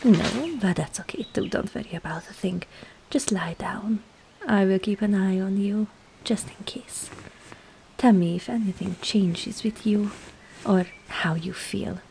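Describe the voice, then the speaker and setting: Woman playing a soft, creaky, coaxing witch. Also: medium pitch, measured pace, a little hiss or hum, poor audio. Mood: soothing, sly. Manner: soft, breathy, often whispering, coaxing. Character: sly, coaxing female witch herbalist with potions. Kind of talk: ASMR roleplay.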